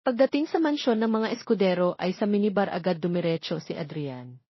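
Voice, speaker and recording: A woman's voice: fairly low pitch, animated, brisk, husky, no echo. A woman reading a scripted romance story as narrator, on a slightly dull recording.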